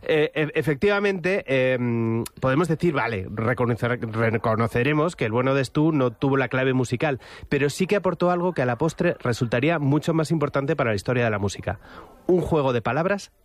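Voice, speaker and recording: Bromista español de voz media. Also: male voice, medium pitch, brisk. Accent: Spain Spanish. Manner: self-deprecating, rambling, jokey. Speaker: comedic show contributor. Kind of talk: humorous storytelling and banter.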